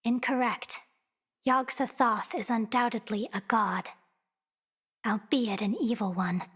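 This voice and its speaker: A woman playing a composed young female fighter in an anime-style video game, with deliberate, dramatic delivery. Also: fairly high pitch, steady tone, fairly bright tone, slightly rough. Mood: matter-of-fact. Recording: game music behind the voice.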